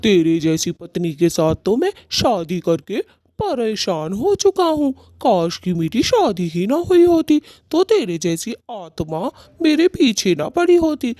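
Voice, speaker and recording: Vain, blustering cartoon bird husband, played by a woman: mellow tone, lively swings. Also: medium pitch, measured pace, no echo. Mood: angry, exasperated. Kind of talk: Hindi cartoon voice acting.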